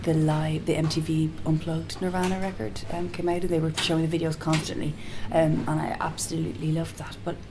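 A soft-spoken woman being interviewed as a singer-songwriter, talking in a casual, hesitant way with frequent restarts. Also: low-pitched, little pitch movement, fairly quick, few pauses. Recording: noticeable background hiss.

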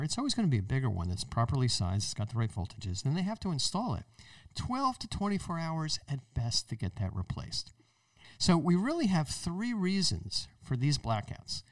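A man's voice: medium pitch, dry recording, distant mic. An American man hosting an explanatory talk show in an emphatic, conversational way. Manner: emphatic, conversational, acts out imagined remarks. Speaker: podcast host. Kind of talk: explanatory radio-style talk.

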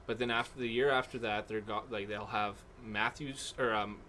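A man co-hosting a casual hockey podcast, calmly breaking down contracts and salary cap details. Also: fairly low pitch, moderate pace, a little hiss or hum. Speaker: podcast co-host.

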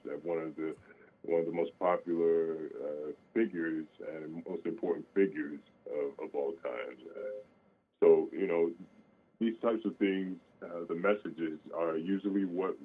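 A man, an opera singer, answering questions in a radio interview, halting with many fillers and restarts. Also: deep voice, slightly rough. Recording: phone-line sound.

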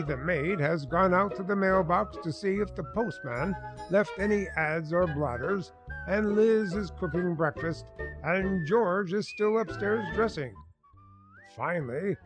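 A man's voice: sits high, animated, a little slow, over music. A man narrating a scripted radio sitcom as announcer, in a droll, old-time style.

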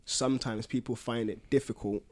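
A man's voice: mid-range voice, fairly even intonation, moderate pace, a little mellow. London man in casual, slangy podcast chat. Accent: British, London. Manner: slangy and colloquial. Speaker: podcast co-host.

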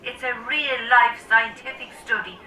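Audio drama: brassy Dublin bingo caller, very sharp tone, unhurried announcing, echoey hall, slightly distant. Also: female voice, fairly high pitch. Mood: proud, bossy. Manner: showy announcing. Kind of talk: radio drama acting.

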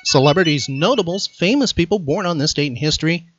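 A man's voice: on the high side, expressive, fast, highs cut off, soft background music. A man hosting a podcast trivia quiz, putting questions to a contestant. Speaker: podcast host and quizmaster.

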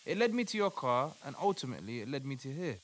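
A man's voice: medium pitch, sing-song, quick pace, natural voice. An actor playing an earnest, devout male doctor who takes charge in an audio play. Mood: earnest, amazed. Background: music.

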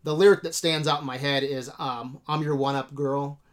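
A man's voice: on the high side, fairly even intonation, normal speed. A man co-hosting a podcast in casual chat, blunt and sweary, dropping "dude" and "man" often. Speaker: podcast co-host.

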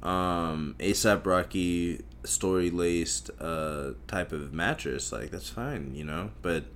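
A young man with a very deep voice hosts a solo podcast, talking in a loose, crude and profane ramble. He mocks himself and drifts from thought to thought, while faint noise from outside hums behind him. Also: takes it slowly, a bit bright. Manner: crude, profane, self-mocking, meandering.

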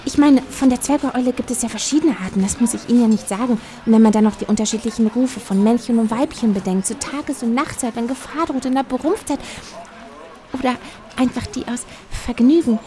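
An actress playing an eager, chatty small-town hostess with a soft spot for owls, in a German audio drama. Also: female voice, a little high, brisk, a little hiss or hum, close to an everyday voice. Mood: eager, dreamy.

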